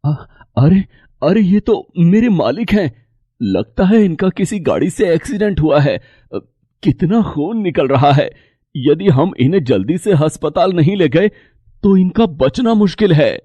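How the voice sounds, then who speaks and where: A man voicing a poor, honest farm labourer, humble and caring, with an earnest, halting way of speaking in a moral story. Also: a little high, sing-song, warm tone, dry recording, muffled recording. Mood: alarmed. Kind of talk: acted Hindi moral story dialogue.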